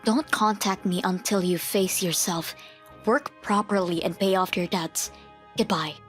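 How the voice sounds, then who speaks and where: Woman playing a firm, lowish-voiced mother. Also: lightly acted. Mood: cold, firm. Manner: stern, measured, matter-of-fact. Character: firm, principled mother of a grown son. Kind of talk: manga dub dialogue and first-person narration. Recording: music behind the voice.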